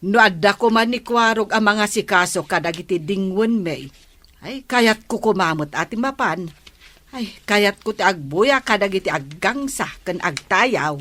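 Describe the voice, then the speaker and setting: A woman's voice: medium pitch, lively intonation, measured pace, a bit bright. A woman with an Ilocano accent playing a nagging, plain-spoken village wife in a radio comedy drama. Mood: curious, eager.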